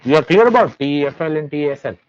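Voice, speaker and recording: A man's voice: fairly high pitch, expressive, fast, dry recording. A man with an Indian Gujarati accent, giving a classroom lecture and often checking that the listeners follow. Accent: Indian English, Gujarati. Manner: checks understanding with repeated questions. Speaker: teacher educator. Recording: dull lo-fi, dry sound.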